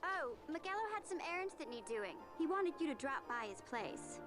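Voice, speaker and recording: A woman's voice: high voice, big pitch swings, normal speed, over music. An actress playing an earnest, dutiful young girl who scolds her friend in a video game. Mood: chiding.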